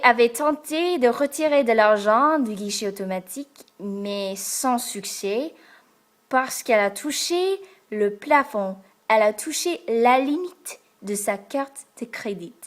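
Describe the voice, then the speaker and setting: A young American woman from Texas, a French learner speaking to the camera in a careful, hesitant way with many pauses and fillers. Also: on the high side, lively intonation. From Texas, United States. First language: English. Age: young adult. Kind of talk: casual talk to camera. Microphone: camera in front of her.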